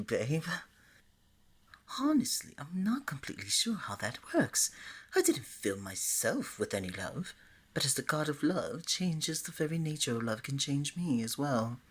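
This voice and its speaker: A woman voicing a flirty, affectionate god of love in a playful, coaxing ASMR romance roleplay, with a breathy delivery. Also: low-pitched, big pitch swings, unhurried, heavily acted. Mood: smitten, flustered. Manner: coaxing, playful, pet names like sweetie and honey.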